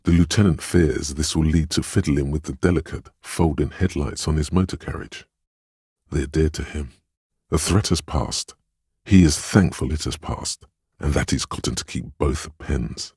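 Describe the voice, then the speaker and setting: Super low husky game narrator, gentle inner empathy voice, solemn n intimate, real dry close sound. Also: male voice, dry recording, heavily acted. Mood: tender, perceptive. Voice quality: very deep, gravelly, husky. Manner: measured, intimate, solemn, literary delivery. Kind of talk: dramatic scripted narration in a role-playing game.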